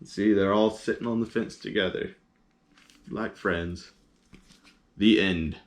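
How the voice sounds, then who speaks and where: A man's voice: fairly low pitch, steady tone, slow pace, a little hiss or hum. A man reading children's picture books aloud as a storyteller, in simple language with short explanatory asides.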